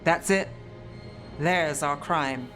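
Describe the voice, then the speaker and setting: A man's voice: a little high, very slow, fairly bright tone, soft background music. A man playing a shrewd, matter-of-fact sleuthing companion in a fantasy game.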